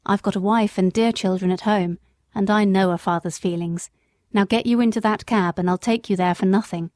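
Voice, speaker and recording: A steady, kind-hearted cab driver, read by a woman close to her own voice, gentle but firm. The delivery is plain and even, with no strong put-on character. The sound is dry and close. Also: mid-range voice, a little fast, dry recording, natural voice. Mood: kindly firm.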